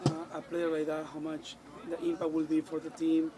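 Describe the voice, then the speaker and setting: A man's voice: fairly high pitch, monotone, fairly quick, noisy background. A male sports reporter asking a question hesitantly, searching for words. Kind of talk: press question.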